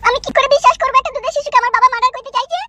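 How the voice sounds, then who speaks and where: A woman's voice: very high-pitched, rapid-fire, heavy background noise. A woman playing a frightened, pleading teenage daughter in a comic cartoon, with a rural West Bengal accent. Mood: scared, pleading.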